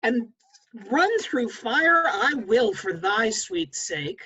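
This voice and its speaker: A woman's voice: high voice, sing-song, slow, creaky, fairly bright tone, heavily acted, low-quality recording. A woman playing an ardent, fickle young nobleman in a verse play read over a video call, impassioned and declamatory. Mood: ardent, fierce. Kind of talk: stage verse read aloud at a table read.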